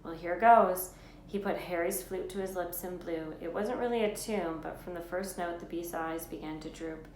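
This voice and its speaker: Female storyteller, lowish pitch, expressive book narration, roomy echo. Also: moderate pace, few pauses. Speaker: read-aloud storyteller. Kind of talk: expressive children's novel narration. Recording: roomy echo, faint background noise.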